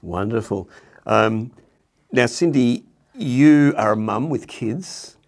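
A man's voice: medium pitch, expressive, slow, gravelly. A man in his sixties or older, interviewing guests conversationally on stage through a headset mic. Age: 60s or older. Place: indoor stage with curtain backdrop. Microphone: headset microphone.

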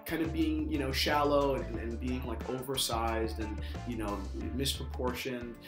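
A man's voice: medium pitch, fairly even intonation, measured pace, music in the background. Young man chatting in an echoey room. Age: 20s. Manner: rambling, peppered with "you know". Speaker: watch channel host. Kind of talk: casual enthusiast chat to camera. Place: small indoor office, seated at a desk.

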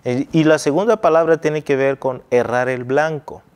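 A middle-aged man from Angola, a pastor hosting a Bible study review in a TV studio, teaching in a measured way on a lapel mic. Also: a little high, fairly even intonation, a little mellow, clear, resonant tone. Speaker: pastor and host.

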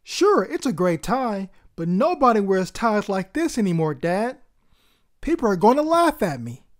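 An anxious schoolboy from a children's storybook, read by a woman. He sounds earnest, plaintive and unsure of himself, with an animated pitch. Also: measured pace. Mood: discouraged.

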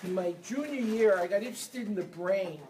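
A man from Brooklyn, giving a lively motivational seminar talk and getting the audience to answer back. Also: high-pitched, fairly quick, slight background noise. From Brooklyn, New York. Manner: energetic, leads audience call-and-response. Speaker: seminar speaker. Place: event room with a live audience.

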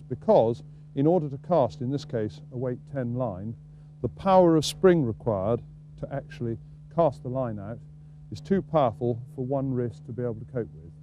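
A man's voice: mid-range voice, mellow, lo-fi sound. Male instructor over background noise and hum. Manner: methodical, explanatory. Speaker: fly-casting instructor. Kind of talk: instructional demonstration to camera. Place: outdoors on a riverbank. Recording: noisy outdoor video sound with hum.

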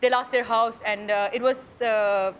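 Gushing Pakistani woman, high bright voice. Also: fairly even intonation, a little slow, slight background noise. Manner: effusive, gushing, broken English phrasing. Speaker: game show contestant. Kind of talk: excited on-stage chat. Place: large TV studio stage. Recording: loud studio audience and music. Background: studio audience and show music.

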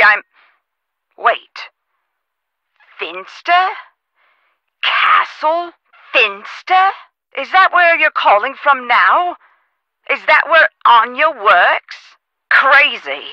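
A chatty call centre operator from a video game, with a very bright, forceful voice. Her delivery swoops up and down expressively over a dry, muffled phone line. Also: fairly high pitch, no room sound, heavily acted. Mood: taken aback, then chatty, wistful. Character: perky, chatty castle staffing phone operator.

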